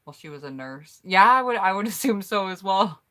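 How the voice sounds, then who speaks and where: A woman's voice: mid-range voice, animated, a little fast, a bit bright. An American woman chatting casually on a home mic, reading out viewers' answers in an upbeat, rambling way. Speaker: YouTuber hosting a collab tag.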